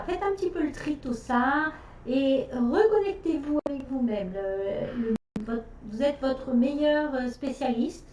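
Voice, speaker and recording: A middle-aged woman from France chats on a casual live stream, pausing often with fillers and restarting her sentences. Her voice is mellow and slightly high. She sits back from the mic in a room that echoes, with faint noise behind her. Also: recorded from a distance. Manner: hesitant, many fillers and restarts. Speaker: nutrition specialist hosting a live stream.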